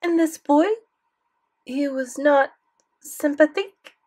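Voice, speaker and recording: A woman's voice: high voice, steady tone, takes it slowly, darkish tone, voice pushed up. A woman voicing an elderly French grandmother with a thick French accent, doting and inquisitive but commanding. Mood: insistent. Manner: inquisitive, imperious.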